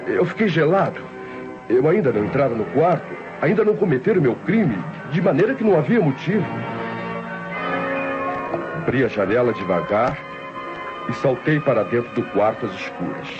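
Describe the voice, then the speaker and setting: An actor playing a tense, brooding nephew confessing a planned murder in a suspenseful old Brazilian radio mystery, speaking formally and deliberately. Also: male voice, medium pitch, lively intonation, close to an everyday voice. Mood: alarmed, horrified. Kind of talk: 1960s radio mystery drama narration. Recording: dull old radio recording, loud music behind.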